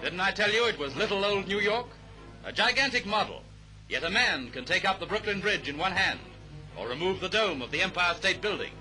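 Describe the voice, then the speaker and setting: A British man narrating a 1930s newsreel in a brisk, declamatory, jaunty announcer's manner. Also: high voice, lively intonation, rough recording. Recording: vintage 1930s newsreel soundtrack, music underneath. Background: music.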